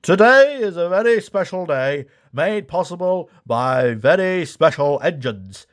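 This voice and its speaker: A man's voice: on the high side, animated, measured pace, pitched above the performer's own voice. A man voicing a formal, authoritative railway controller who declaims his lines in a children's storybook. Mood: proud, formal.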